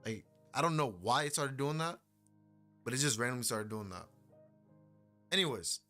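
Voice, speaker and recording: A man's voice: mid-range voice, lively intonation, moderate pace, bright, forceful tone, soft background music. A young man giving a casual, slangy reaction commentary as a YouTuber, laughing often and rambling into asides.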